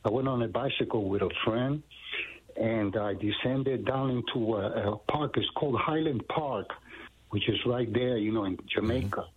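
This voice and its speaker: An older man from Puerto Rico calls in over a tinny phone line, his voice husky. He talks earnestly and rambles, with a lot of fillers. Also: mid-range voice, normal speed. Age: 60s. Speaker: caller. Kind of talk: phone-in call.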